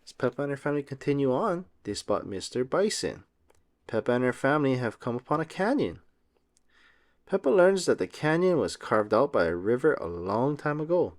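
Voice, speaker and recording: Animated man reading a children's storybook. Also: mid-range voice, moderate pace. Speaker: read-aloud host. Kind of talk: children's picture book read-aloud, scripted narration.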